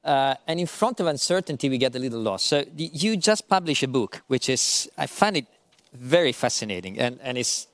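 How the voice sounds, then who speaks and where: A man moderating a panel in English, playful and animated, with halting phrasing. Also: mid-range voice, dry recording. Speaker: moderator, professor.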